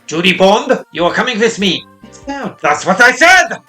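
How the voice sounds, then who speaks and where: A stern, harsh older male prosecutor played by a man reading game lines barks his orders loud and high. The voice is sharp and strained. He commands rather than asks. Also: big pitch swings, moderate pace, faint music behind, heavily acted. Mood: furious. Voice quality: barking, commanding.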